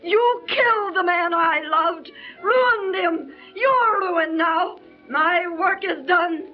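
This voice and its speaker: High swoopy vengeful young woman, slow and dramatic, muffled old radio sound. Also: faint music behind. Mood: hysterical. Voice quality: theatrical, sobbing and shrieking in fury. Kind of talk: 1930s radio drama acting. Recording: muffled 1930s radio transcription.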